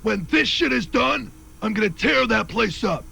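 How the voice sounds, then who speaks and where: A very gravelly, high-pitched game punk, brash and aggressive, itching to wreck things. The voice is strained and sits over clear background noise. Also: male voice, animated, normal speed, sharp tone, exaggerated voice, poor audio. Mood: menacing. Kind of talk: video game character acting.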